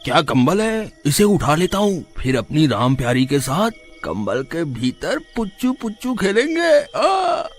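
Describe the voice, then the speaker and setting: A man's voice: fairly high pitch, very animated, unhurried, hardly any pauses, faint music behind. Guy playing a goofy flirty village romeo, all swoopy and giggly. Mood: smarmy. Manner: smarmy, giggly, wooing.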